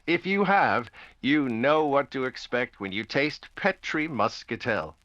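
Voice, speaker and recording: A man as a genial 1940s radio announcer with a polished, scripted delivery. His voice is bright and highly inflected, in a dry, slightly dull recording. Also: dry recording.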